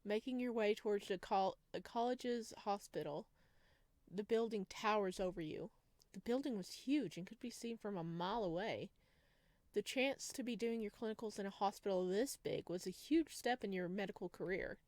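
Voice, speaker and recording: A woman's voice: mid-range voice, moderate pace, darkish tone, no room sound. A woman doing a let's play, reading visual novel narration aloud and dropping in chatty, casual asides. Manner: chatty asides, reacts to the story. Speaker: video game streamer.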